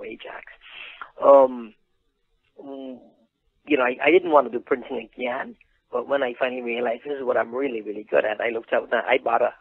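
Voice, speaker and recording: Gravelly Guyanese man on tinny phone line. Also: mid-range voice, no room sound. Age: middle-aged. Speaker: interview guest, print business owner. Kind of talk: conversational personal storytelling. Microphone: phone call.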